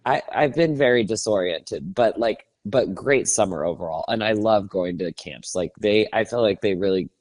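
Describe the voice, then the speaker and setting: A man's voice: lowish voice, moderate pace, hardly any pauses, a little mellow, dry recording. A man co-hosting a podcast, chatting casually and animatedly, laughing often. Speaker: podcast co-host. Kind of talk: casual chat.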